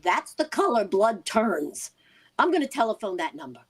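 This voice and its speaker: A woman's voice: medium pitch, big pitch swings, normal speed, a little hiss or hum, lightly acted. A woman playing a concerned, persistent housewife in a vintage-style radio play, earnest and inquisitive. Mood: worried, insistent. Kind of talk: vintage radio drama acting.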